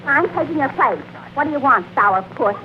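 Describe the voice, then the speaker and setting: A woman's voice: high-pitched, lively intonation, normal speed. An actress playing a flippant, wisecracking young woman witness in an old radio comedy. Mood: sassy, flippant. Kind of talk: 1930s radio comedy acting. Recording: very noisy muffled old radio recording.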